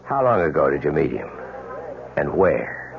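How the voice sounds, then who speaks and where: A man playing a patient New York police lieutenant, politely insistent and measured, in a 1970s radio drama. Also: very deep voice, big pitch swings, creaky, a crowd in the background, heavily acted. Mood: probing. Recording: tinny old radio broadcast, faint hiss.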